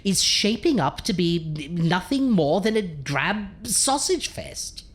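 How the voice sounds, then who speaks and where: A woman's voice: fairly low pitch, very animated, slow. A woman playing a macabre, sardonic horror host doctor in an audio comedy, speaking in a dry, theatrical way. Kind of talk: horror anthology radio comedy.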